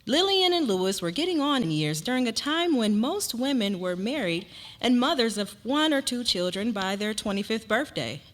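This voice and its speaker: A woman's voice: on the high side, sing-song, normal speed. A woman narrating a story aloud in a dramatic reading.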